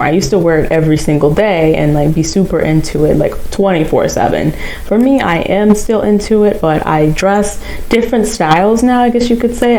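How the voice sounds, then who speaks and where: A woman's voice: sits low, dark tone, a little hiss or hum, rough recording. A nineteen-year-old woman chatting casually to her channel viewers, rambling with fillers and little giggles. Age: young adult. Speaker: vlogger. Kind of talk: casual vlog chat.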